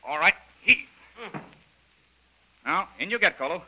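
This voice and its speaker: An actor playing a brisk, take-charge adventurer hero in a 1930s style radio serial. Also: male voice, high voice, animated, bright, forceful tone, full character voice, rough recording. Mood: busy, good-natured. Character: Jungle Jim, brisk commanding adventurer hero, man. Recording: old radio recording with faint noise.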